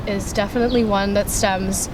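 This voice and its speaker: A young woman, a student, answering interview questions in a relaxed way with frequent ums, outdoors near a street. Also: medium pitch, normal speed, noisy background. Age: young adult. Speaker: scholarship finalist.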